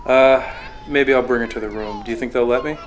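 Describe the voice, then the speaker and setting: A man playing a gentle young father who gets choked up seeing his daughter off. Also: mid-range voice, steady tone, brisk, crowd noise, noisy background, close to an everyday voice. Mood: tender. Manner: soft, tender, choked up.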